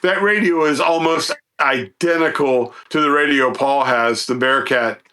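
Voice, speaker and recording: Bright-voiced man telling rambling, folksy stories. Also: on the high side, unhurried. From United States, Southeastern Virginia. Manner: folksy, rambling, animated storytelling. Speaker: podcast guest, radio hobbyist. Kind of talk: casual chat, anecdotes about a hobby.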